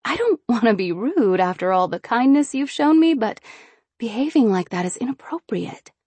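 A woman's voice: a little high, sing-song, normal speed, a little mellow, slightly rough, no room sound, pitched above the performer's own voice. A woman reading an audiobook voices a proud young queen who speaks firmly and formally. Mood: indignant. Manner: firm, formal, indignant. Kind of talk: audiobook character voice.

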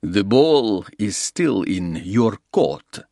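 A man voicing a smug, menacing Russian gangster who talks slowly and mocks his rival. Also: fairly low pitch, sing-song, mellow, dry recording, clean studio sound, exaggerated voice. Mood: gloating. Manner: slow, gloating, mocking. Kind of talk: audiobook character reading.

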